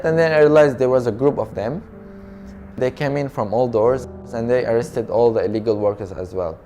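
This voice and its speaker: Young Syrian man, soft and halting. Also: medium pitch. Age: young adult. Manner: soft, halting and earnest, with non-native grammar. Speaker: refugee telling his story. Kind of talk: documentary interview testimony.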